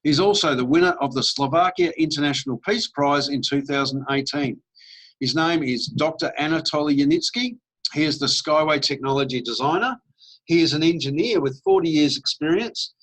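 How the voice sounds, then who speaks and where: A man's voice: a little high, fairly quick, a bit bright, rough recording. A middle-aged Australian man giving an upbeat, persuasive sales presentation with casual fillers. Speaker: network marketing presenter.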